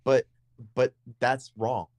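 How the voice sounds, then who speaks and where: A young man with a deep voice, co-hosting a casual podcast chat and explaining at length, with frequent restarts and repeated words. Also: little pitch movement, slow pace, dry recording, studio quality. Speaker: podcast co-host. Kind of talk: casual conversation.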